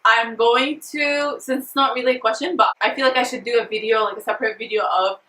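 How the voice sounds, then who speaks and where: Bright giggly woman in her twenties. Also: on the high side, steady tone, fast talker, reverberant, recorded from a distance, poor audio. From Afghan and Syrian family. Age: 20s. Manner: giggly, jokey, chatty with many fillers. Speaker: beauty vlogger, freelance makeup artist. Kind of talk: casual Q&A chat to camera.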